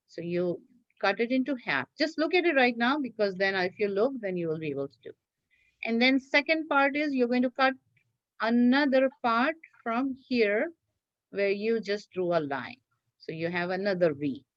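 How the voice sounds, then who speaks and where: A woman's voice: fairly high pitch, a bit bright, dry recording, muffled recording. A woman teacher giving step-by-step craft instructions to children in a patient, repetitive way, often checking that they follow.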